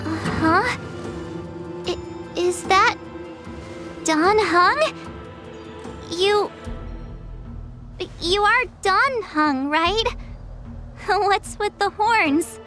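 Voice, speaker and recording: A woman's voice: very high-pitched, very slow, pauses often, sharp tone, exaggerated voice. An actress playing a perky, inquisitive girl adventurer in an anime-style game, talking informally and expressively. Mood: astonished, curious. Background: background music.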